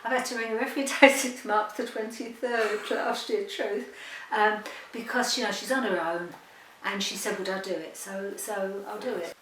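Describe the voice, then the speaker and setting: A woman's voice: medium pitch, steady tone, normal speed, a bit bright. A woman, talking frankly and at length in an interview. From Beckenham, London. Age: 70s. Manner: chatty, frank, rambling. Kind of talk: candid interview answers. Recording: roomy echo, distant mic, faint noise.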